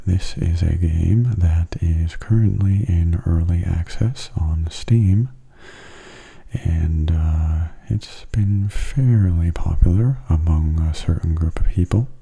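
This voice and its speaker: A man giving soft-spoken ASMR gameplay commentary in a hushed, breathy, unhurried and casual way, close to the microphone. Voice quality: breathy, husky low voice. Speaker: gaming ASMR video host. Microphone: close mono microphone.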